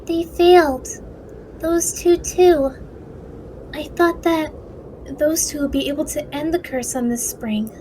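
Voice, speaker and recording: Actress for wistful little girl guardian in anime fan dub: high voice, slow theatrical delivery, music underneath. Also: warm tone, slight background noise, rough recording. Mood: disappointed. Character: young girl guardian, wistful, devoted daughter. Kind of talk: dramatic anime-style fan dub acting.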